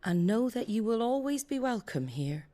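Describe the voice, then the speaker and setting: An actress playing an earthy, compassionate innkeeper rebel in a video game, with a regional English accent and plain, folksy speech. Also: female voice, on the high side, very animated, moderate pace, warm tone. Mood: warm, encouraging. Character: Martha, earthy outspoken innkeeper woman, secretly compassionate rebel. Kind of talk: dramatic video game acting. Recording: music behind the voice.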